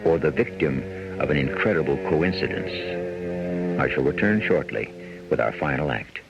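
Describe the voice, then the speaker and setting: A man playing a solemn, portentous host, narrating a radio mystery in a measured, dramatic way with a gravelly voice. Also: extremely low pitch, sing-song, full character voice. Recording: muffled vintage radio recording. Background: loud music.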